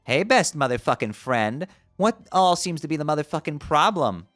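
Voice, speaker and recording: A man's voice: fairly high pitch, big pitch swings, moderate pace, a bit bright, soft background music, voice pushed up. A man voicing a spaced-out stoner clown troll boy who drawls and swears. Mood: dopey calm. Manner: lazy drawl, swears in every phrase.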